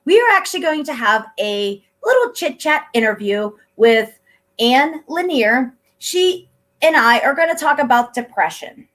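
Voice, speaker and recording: A chatty, upbeat middle-aged woman from Pennsylvania hosts a casual interview. Her voice sits a little high, and she laughs easily as she tells stories. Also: sing-song, a little slow, fairly bright tone. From Pennsylvania, USA. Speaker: host.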